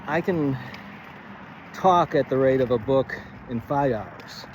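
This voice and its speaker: A man giving a rambling, informal lesson as a writing coach, thinking aloud in a halting, stammering way and often wandering off on tangents. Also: mid-range voice, expressive, muffled recording, slight background noise. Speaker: writing coach, presenter of a video series.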